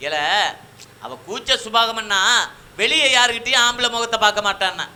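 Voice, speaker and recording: A man's voice: very high voice, normal speed, pushed and bright, full character voice. A man voicing an evasive village husband in comic Tamil puppet banter, insistently making excuses in rural dialect. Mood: defensive. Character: evasive village husband puppet, guarding his shy wife.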